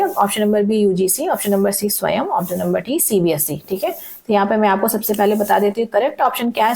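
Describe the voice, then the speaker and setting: A woman teacher with a somewhat high voice walks students through exam questions, mixing languages and pausing to check they follow. Her throat is sore, so her voice cracks now and then. She reads each question slowly before explaining it. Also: darkish tone, poor audio. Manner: frequent "theek hai" checks, reads questions slowly in English. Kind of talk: exam-prep lesson mixing Hindi and English.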